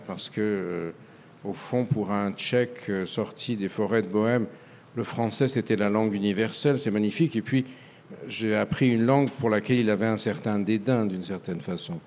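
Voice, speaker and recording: Halting, reflective older French man. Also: fairly low pitch, darkish tone. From France. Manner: halting and reflective, with many hesitations. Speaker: author guest. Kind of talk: radio interview.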